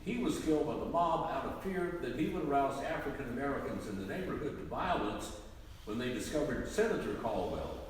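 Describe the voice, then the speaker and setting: Male narrator for historical context, mid-pitched and animated, heard from afar in a very reverberant hall. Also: fairly quick. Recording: very echoey hall, distant mic, faint noise.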